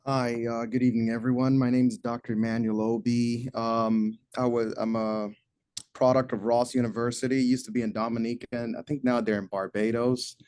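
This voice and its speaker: Flat-toned, smooth man's voice. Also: medium pitch, normal speed, dry recording. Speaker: panelist, hospital physician. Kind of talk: brief self-introduction.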